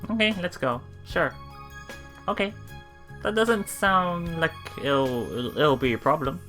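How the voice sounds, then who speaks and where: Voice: mid-range voice, slow pace, pushed and bright, faint music behind. A let's player reading visual novel text aloud and musing casually on it as they go. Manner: musing, often thinking aloud.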